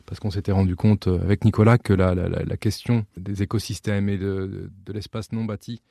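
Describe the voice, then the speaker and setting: A French man, an architect, answering interview questions in animated storytelling with frequent restarts. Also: low voice, brisk, creaky, no room sound, clean studio sound. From France.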